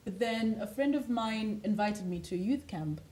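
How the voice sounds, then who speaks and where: A woman's voice: medium pitch, expressive, moderate pace, darkish tone. A woman from Zimbabwe, a lifestyle coach and author, speaking earnestly and motivationally as a guest in a TV studio interview.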